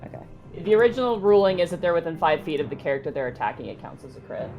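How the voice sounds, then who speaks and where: A woman's voice: low voice, big pitch swings, rapid-fire, darkish tone. A woman talking casually as a player at a tabletop role-playing game. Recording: clear music under the voice. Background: music.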